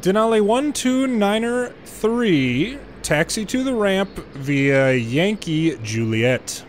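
A man doing gameplay commentary, mixing measured air traffic control phraseology with muttered casual asides. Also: high-pitched, very animated. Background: game airport ambience.

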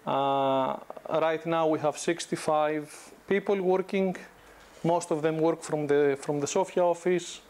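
A man's voice: fairly high pitch, measured pace, clear, resonant tone. A man in his thirties from Greece, giving a conversational guest lecture in a university classroom on a lapel mic, with many restarts. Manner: relaxed, conversational, frequent restarts and fillers. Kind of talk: informal business lecture.

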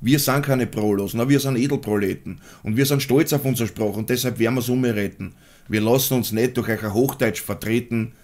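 A man's voice: medium pitch, a little fast, a little mellow. Blunt Viennese man in a home studio. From Austria. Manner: blunt, crude, laughs at his own jokes. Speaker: musician and vlogger. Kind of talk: casual vlog and spoken-word rap. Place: small home studio room.

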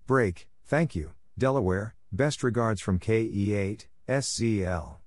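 Guy w/ slightly deep voice reading callouts for code practice, neutral and scripted, super dry sound. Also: unhurried, a little mellow, no room sound. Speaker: narrator of Morse code practice drills. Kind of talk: scripted read-out of letters, numbers and short phrases.

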